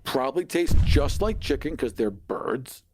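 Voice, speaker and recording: A man's voice: medium pitch, big pitch swings, a little slow, noisy background. A man bantering casually as a podcast co-host, playfully argumentative and swearing now and then.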